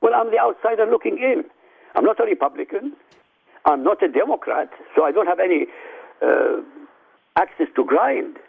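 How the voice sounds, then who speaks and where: Gravelly-voiced man on a tinny phone line. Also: high voice, animated, moderate pace, pauses often. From Iraq. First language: Arabic. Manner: forceful, declamatory, addresses listeners directly. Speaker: radio guest. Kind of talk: emphatic argument on a radio talk show.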